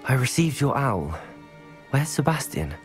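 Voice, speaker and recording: A man playing a calm, cautious young student wizard in a video game, slightly husky. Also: medium pitch, a little slow, faint music behind. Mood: concerned. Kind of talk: video game dialogue acting.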